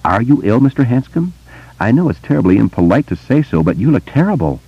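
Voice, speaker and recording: A man voicing a polite woman librarian with a kindly, gently concerned manner in an audiobook. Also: lowish voice, sing-song, quick pace, dry recording, muffled recording.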